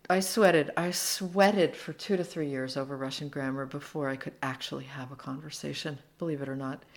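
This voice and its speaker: A woman translator, speaking as an interview guest and telling animated, self-deprecating stories about learning languages, laughing easily. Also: low voice. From United States. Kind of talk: conversational storytelling.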